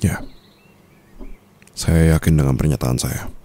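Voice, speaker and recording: A man's voice: fairly even intonation, mellow. A man playing a calculating, coolly dominant mafia boss husband in an intimate ASMR roleplay, speaking in a teasing, smug manner. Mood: calm, calculating. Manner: slow, teasing, smug, with low chuckles and hums.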